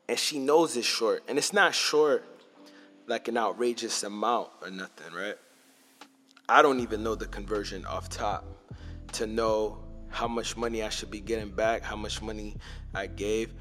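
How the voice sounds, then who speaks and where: A young man hosting a podcast, telling loose, slangy stories in a casual way and dropping into low asides. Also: moderate pace, a bit bright, soft background music. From Montreal, Canada. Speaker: podcast host. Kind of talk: casual storytelling.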